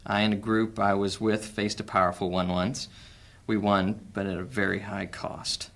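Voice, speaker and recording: An actor playing a guarded, cunning male thief in a fantasy audio drama, speaking in a dry, measured way. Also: fairly low pitch, flat delivery, fairly bright tone. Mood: grave.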